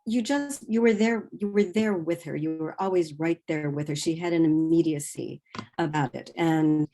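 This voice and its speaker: Lowish-voiced woman reflecting on a panel. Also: normal speed, dark tone. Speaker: writer, panelist. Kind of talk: informal spoken reflection on a panel.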